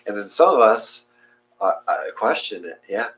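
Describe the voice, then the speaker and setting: A man co-hosting a meditation class, talking casually with halting, friendly restarts and fillers. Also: fairly low pitch, very animated, a bit bright. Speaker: meditation co-host. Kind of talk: guided meditation and casual chat. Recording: dull lo-fi sound with faint hum.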